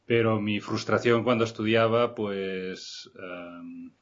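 A middle-aged man from Barcelona, guest on an interview podcast, speaking in a measured, hesitant way with many restarts. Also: fairly low pitch, steady tone. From Barcelona, Spain. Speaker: guest architect. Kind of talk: reflective interview talk. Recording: slightly muffled podcast recording.

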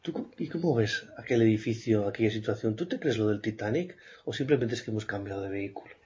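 A man hosting an interview podcast, asking questions in a lively, playful way. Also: on the low side, fast, slightly rough. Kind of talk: conversational interview. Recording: slightly muffled podcast recording.

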